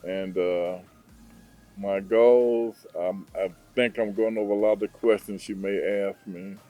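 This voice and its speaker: A man from Detroit, a hotel owner, answering interview questions in an earnest, unhurried way with frequent fillers. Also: fairly low pitch, fairly even intonation, mellow, faint music behind. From Detroit, USA. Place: hotel in Zanzibar.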